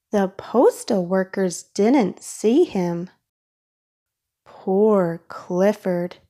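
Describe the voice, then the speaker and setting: A woman reading a children's storybook aloud in a careful, expressive way for young listeners. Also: medium pitch. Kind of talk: read-aloud storybook narration.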